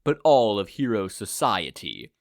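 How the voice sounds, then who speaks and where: A man's voice: on the low side, wildly swooping pitch, measured pace, no echo, clean studio sound, heavily acted. A man voicing a sinister, self-absorbed male supervillain who monologues in a pompous, theatrical way, in a story reading. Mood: gloating, grandiose.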